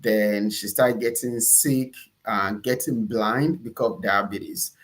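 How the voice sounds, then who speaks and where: A man's voice: lowish voice, fairly even intonation, takes it slowly, a bit bright. A man from Nigeria with a Nigerian accent, speaking as an interview guest in an emphatic, conversational storytelling way. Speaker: interview guest, entrepreneur and pastor.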